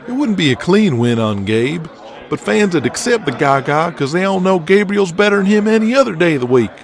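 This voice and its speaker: A man's voice: high voice, big pitch swings, a little fast, gravelly, faint music behind, pitched above the performer's own voice, heavily acted. A man voicing an insistent, persuasive male wrestling booker in an audio drama. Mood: urging, resigned.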